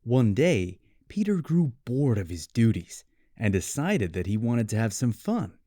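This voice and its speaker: American man, storybook narrator, lively intonation, soft warm tone, measured phrasing for English learners. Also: medium pitch, no room sound, clean studio sound.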